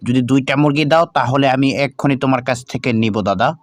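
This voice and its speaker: A man's voice: medium pitch, steady tone, fast talker, no room sound, close to an everyday voice. A man voicing an earnest poor village farmer and devoted husband in a children's cartoon. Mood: pleading.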